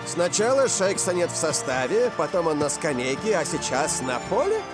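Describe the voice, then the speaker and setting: An excitable male cartoon commentator, calls the football emphatically in a high voice that swings widely. Music runs behind him. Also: moderate pace. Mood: suspenseful. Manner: theatrical, emphatic. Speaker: cartoon voice actor.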